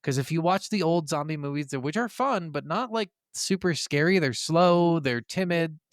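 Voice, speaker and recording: Casual male podcast co-host, clear, higher-pitched voice. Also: lively intonation, moderate pace, dry recording, clean studio sound. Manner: enthusiastic, freely profane. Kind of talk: casual gaming podcast chat.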